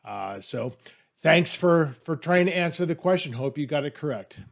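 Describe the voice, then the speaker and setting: A man's voice: fairly high pitch, expressive, moderate pace. A man speaking casually and off the cuff as an author presenting a trivia question. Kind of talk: casual, off-the-cuff talk to camera.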